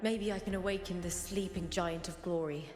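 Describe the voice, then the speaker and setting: A woman's voice: on the low side, steady tone, moderate pace, a little mellow, natural voice. Solemn fantasy game girl voiced by a woman, lots of reverb, music playing behind her. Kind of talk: scripted video game story dialogue.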